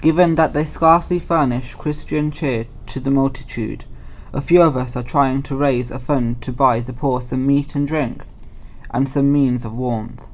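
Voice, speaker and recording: A man's voice: mid-range voice, fairly even intonation, normal speed, natural voice. A man acting a polite, earnest charity collector in an audio play, speaking formally and courteously.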